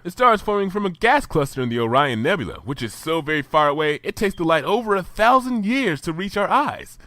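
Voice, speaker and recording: A man's voice: high voice, very animated, a little fast, bright, forceful tone, dry recording, voice pushed up, exaggerated voice. A man voicing an earnest, bookish young unicorn mare who explains things in a precise, lecturing way. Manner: precise, lecturing, enthusiastic about science.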